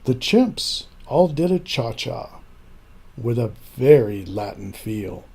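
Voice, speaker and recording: An older man narrating a rhyming children's story in an expressive, deliberate, rhythmic way. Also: mid-range voice, creaky, husky. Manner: deliberate, rhythmic verse reading. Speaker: storybook reader.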